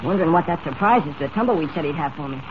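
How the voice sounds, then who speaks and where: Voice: mid-range voice, animated, racing, lightly acted. An actor playing an eager, curious boy sidekick in a 1940s radio serial, earnest and gushing. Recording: noisy, dull 1940s radio broadcast.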